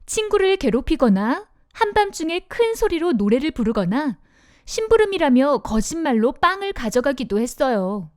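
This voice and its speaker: Brief: woman reading a kids' fairy tale, high and lively, dry close mic. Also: moderate pace, no echo. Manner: sing-song, expressive. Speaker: narrator. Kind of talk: children's storybook narration.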